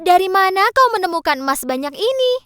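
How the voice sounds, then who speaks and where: A woman voicing an excited, eager wife in a children's storybook tale. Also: very high voice, fast, over music, pitched above the performer's own voice. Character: excited wife of a poor woodcutter.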